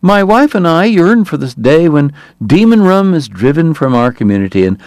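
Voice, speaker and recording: A man voicing a huge, falsely sincere male politician who speaks in a startling high voice with smooth, pompous oratory. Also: big pitch swings, normal speed, a little mellow, no echo, clean studio sound.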